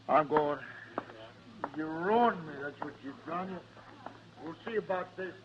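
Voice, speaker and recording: An American actor playing a bitter, aggrieved gunfighter bully in an old radio western. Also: male voice, fairly high pitch, takes it slowly, background noise. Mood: pained, resentful. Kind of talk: 1950s radio western acting. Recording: dull old radio recording.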